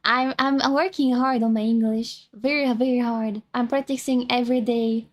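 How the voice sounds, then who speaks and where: A woman's voice: on the high side, fairly even intonation, moderate pace. A young woman with a French accent, chatting casually as a VTuber streamer, rambling and self-conscious with many restarts. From France. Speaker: VTuber streamer reacting to a video. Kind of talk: casual chat.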